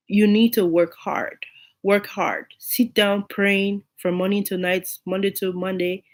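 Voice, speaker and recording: A woman with a cold and a hoarse, unclear voice, giving an informal sermon to her online listeners and repeating key phrases for emphasis. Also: mid-range voice. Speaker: online preacher.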